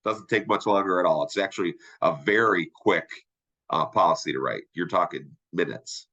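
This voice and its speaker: A middle-aged man with a stuffy, nasal voice from a sinus infection leads a training webinar. His tone is bright and forward, and he talks in a chatty, upbeat way. He keeps asking his listeners "right?" as he goes. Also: deep voice, normal speed, highs cut off. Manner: upbeat, conversational, asks "right?" often. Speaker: insurance sales trainer. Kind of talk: webinar presentation.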